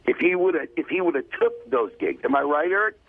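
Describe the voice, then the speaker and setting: Gruff croaky guy phoning a radio show, tinny line, swears, keeps butting in. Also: a little high, lively intonation, fairly quick, dry recording. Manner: profane, insistent, cuts in. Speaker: talent representative calling into a radio show. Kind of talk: casual phone-in chat. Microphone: telephone. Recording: muffled narrow phone line.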